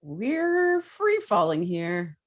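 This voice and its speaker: A woman playing a gruff, exasperated male superhero, with dry delivery, at an amateur table read. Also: on the low side, sing-song, drawn-out words, exaggerated voice. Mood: alarmed.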